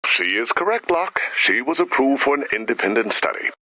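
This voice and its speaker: A man voicing a reassuring, mildly officious supervisor in an animated video game, measured and encouraging, through a radio filter. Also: mid-range voice, dry recording, poor audio. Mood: mild, official.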